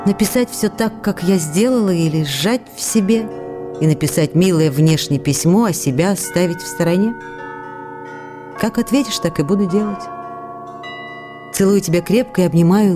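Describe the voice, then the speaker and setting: Radio play wife, played by an actress: lower-voiced, anguished, intimate, emotional letter reading over clear music. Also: female voice, close to an everyday voice. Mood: anxious, tender. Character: anguished, devoted young actress wife writing to her husband.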